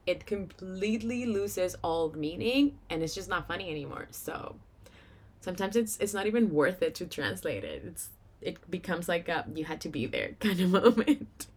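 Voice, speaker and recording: A young Venezuelan woman hosts a casual solo podcast in a slightly low voice. She has a Venezuelan Spanish accent from her first language. Her delivery is chatty and informal, with a giggly streak. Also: big pitch swings, moderate pace, slightly rough. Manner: chatty, informal, giggles often while talking. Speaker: podcast host.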